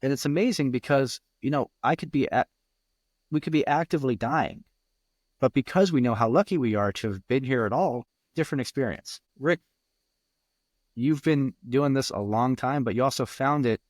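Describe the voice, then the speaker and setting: A man's voice: mid-range voice, normal speed, darkish tone, no echo, studio quality. A man hosting a podcast interview, talking in an enthusiastic, conversational way. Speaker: podcast host. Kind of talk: casual interview chat.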